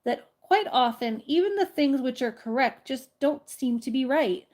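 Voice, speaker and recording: A woman's voice: high voice, animated, moderate pace, darkish tone. A woman with a congested cold voicing an earnest, curious young boy in a storybook reading. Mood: puzzled.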